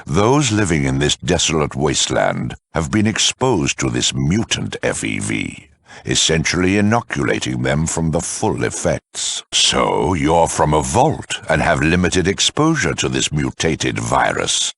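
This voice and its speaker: A huge mutant lieutenant, a video game villain with an extremely low, husky growl. He is eloquent, smug and zealous, swinging theatrically in pitch as he talks down to his listener. The sound is close and dry, with an air of polite menace. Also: sing-song, fairly bright tone, no echo, full character voice. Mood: calm, lecturing. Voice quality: very deep, gravelly, rasping. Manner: condescending, eloquent, slow and deliberate.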